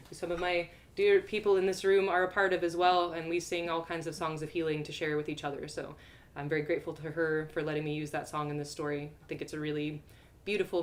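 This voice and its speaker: American lady hosting an online story night, bright voice, chatting casually to everyone on a video call. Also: lowish voice. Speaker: storyteller and host of online readings. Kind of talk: casual live address to an online audience.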